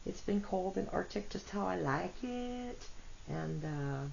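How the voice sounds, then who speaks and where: A woman with a congested, rough voice gives a chatty tarot reading, talking to her cards and her viewers. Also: medium pitch, expressive, measured pace, darkish tone. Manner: chatty, self-deprecating, talks to cards and viewers. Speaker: tarot reader. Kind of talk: casual solo tarot reading. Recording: dull lo-fi audio with faint noise.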